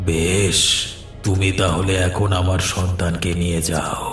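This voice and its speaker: A man's voice: deep voice, flat delivery, a little slow. A man voicing a menacing, commanding jinn, a restless spirit, in a horror cartoon. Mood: stern. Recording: echoing voice over background music.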